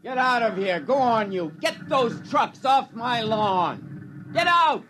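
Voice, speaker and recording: A man's voice: very high-pitched, expressive, takes it slowly, exaggerated voice. Casting: irascible old male scientist, florid and indignant, 1950s radio drama with faint background noise. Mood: angry. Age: 70s. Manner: blustering, insulting, florid.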